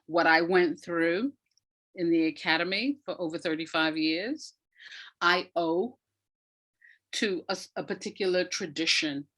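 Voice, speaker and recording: An older woman speaking reflectively as an interview guest, in a measured, deliberate way with emphasis on key words. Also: lowish voice, fairly bright tone. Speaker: guest, playwright and retired academic.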